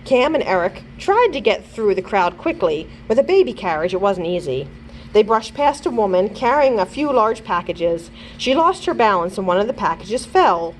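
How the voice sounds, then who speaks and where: A woman reads a children's story aloud as a librarian. Her delivery is expressive, with animated rises and falls in pitch. There is faint noise in the background. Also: normal speed, poor audio. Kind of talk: expressive children's chapter book read-aloud.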